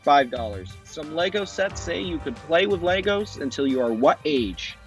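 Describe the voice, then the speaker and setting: A man's voice: fairly high pitch, sing-song, normal speed. A man hosting a quiz show, addressing contestants directly in a presenter's delivery. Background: background music.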